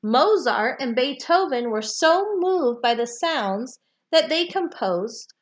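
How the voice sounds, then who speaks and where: A woman reading a children's nonfiction book aloud with deliberate, emphatic phrasing for young listeners. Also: high voice, expressive. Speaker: read-aloud storyteller.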